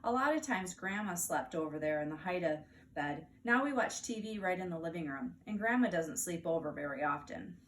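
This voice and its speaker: A woman's voice: lowish voice, lively intonation, normal speed, few pauses. American woman reading aloud, distant mic. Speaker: teacher reading aloud to first graders. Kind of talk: expressive children's storybook read-aloud. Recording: distant mic, faint background noise.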